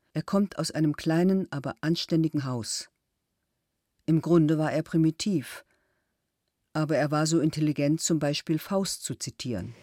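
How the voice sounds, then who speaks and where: A loyal witness, read by a man, vouches earnestly for a worker he trusted. The voice is somewhat high and sounds dry and close. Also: mellow, no room sound, close to an everyday voice. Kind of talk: reading a witness statement.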